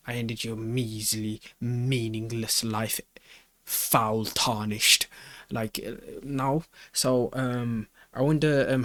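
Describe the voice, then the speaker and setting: A man's voice: mid-range voice, a bit bright, no echo. A man giving casual reaction commentary as host, rambling and slangy as he thinks aloud, recorded on a close mic.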